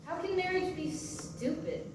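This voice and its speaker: A woman's voice: very high voice, measured pace. An actress playing a chatty, guarded divorced mother on a date in a naturalistic staged play reading. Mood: flirty, nervous. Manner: probing, playfully defensive questioning. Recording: distant mic in roomy echo, hissy noise.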